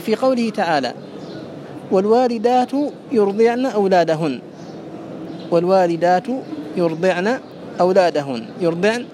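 A man's voice: high-pitched, noisy background. A man teaching a classical grammar lesson, methodically repeating and parsing each phrase for his students.

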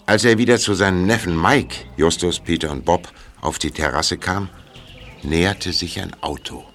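A man's voice: sits low, moderate pace, fairly bright tone. A man reading scripted narration for a German audio drama as its storyteller.

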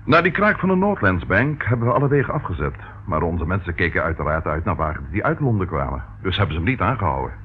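An actor playing a genial, brisk policeman in a radio play, with a hearty, avuncular manner. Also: deep voice, very animated. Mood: businesslike. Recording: dull, faintly noisy old radio recording.

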